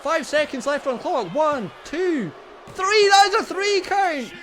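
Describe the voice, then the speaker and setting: Young guy hyped up calling a wrestling game, pitch jumps all over, repeats every call, voice going a bit hoarse. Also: measured pace. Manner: excitable, repeats each call, laughs at himself. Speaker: gaming channel host. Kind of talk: playful play-by-play of a wrestling video game.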